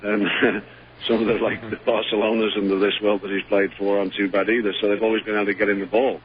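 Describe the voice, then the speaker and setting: A hoarse head coach talks low and flat, pausing often with fillers. His voice comes through a dull, muffled line with a faint hum behind it. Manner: halting, many fillers. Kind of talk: post-match phone interview.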